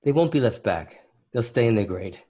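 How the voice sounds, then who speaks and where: A man playing a brisk, businesslike federal agent in an audio drama. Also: medium pitch, sing-song, mellow. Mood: brisk, firm.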